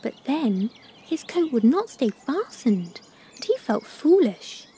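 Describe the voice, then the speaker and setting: A woman reads a children's story in a high, lilting voice. Her delivery is slow and careful, with lively expression. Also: husky. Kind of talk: scripted storybook narration.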